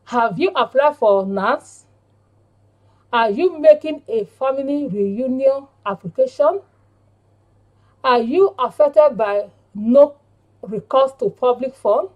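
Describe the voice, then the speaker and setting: Woman w a highish voice reading out a campaign speech, really slow, earnest, kinda halting. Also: lo-fi sound. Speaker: panel speaker and member of a women's campaign group. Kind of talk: prepared speech read aloud.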